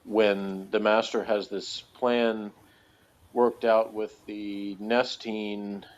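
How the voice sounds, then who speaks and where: Deep-ish guy on a podcast, kinda rambly and hesitant, dull lofi sound. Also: flat delivery, extremely slow. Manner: hesitant, hedging, thinking aloud. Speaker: podcast reviewer of a TV show. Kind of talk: casual chat, rambling commentary. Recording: dull lo-fi recording.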